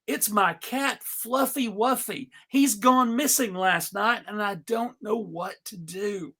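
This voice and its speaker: A man's voice: high-pitched, takes it slowly, fairly bright tone, heavily acted. A man voicing a pleading little girl upset over her lost pet, in a cartoon script reading. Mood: sad. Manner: sobbing, pleading. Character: distressed little girl who has lost her cat.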